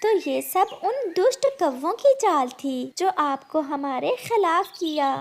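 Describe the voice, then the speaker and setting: A woman voicing a gentle, hard-working mother bird in a cartoon, with a caring, pleading manner. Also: high-pitched, expressive, takes it slowly, a little hiss or hum, exaggerated voice. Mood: concerned.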